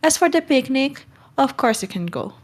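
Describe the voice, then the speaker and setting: A woman's voice: sits high, very animated, normal speed. A woman playing a stern but kind middle-aged guardian in a storybook read-along, brusque and scolding. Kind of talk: acted audiobook read-along.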